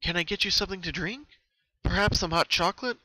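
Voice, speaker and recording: A man's voice: sits high, quick pace, bright, forceful tone, dry recording, voice pushed up, heavily acted, poor audio. A man voicing a kind, concerned young female cartoon unicorn, polite and attentive, in a storybook reading. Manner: polite, solicitous.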